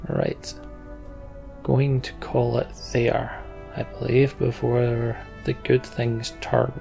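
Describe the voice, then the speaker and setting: Brit guy doing casual lets play commentary, super creaky voice, kinda dry and muttery, game music behind him. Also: mid-range voice, little pitch movement, slow pace, warm tone. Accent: British. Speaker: let's play host. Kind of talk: casual game commentary, reading on-screen story aloud. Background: video game music.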